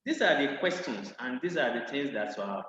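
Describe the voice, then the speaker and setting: A man introducing his research on a video call, earnest and halting with frequent pauses. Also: mid-range voice, animated, a bit bright. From Nigeria. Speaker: panelist, research scientist. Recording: echoey, dull video-call audio.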